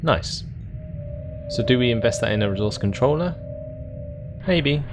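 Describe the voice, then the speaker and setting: A man's voice: mid-range voice, animated, measured pace, music in the background. A man giving casual gameplay commentary as a video host, understated and thinking aloud.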